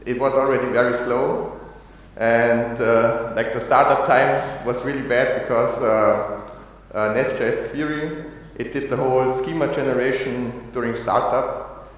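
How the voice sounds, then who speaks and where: A man from Austria giving a technical conference talk, halting with many fillers and restarts. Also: medium pitch, steady tone. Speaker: conference speaker. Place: conference hall. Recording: echoey hall, long reverb.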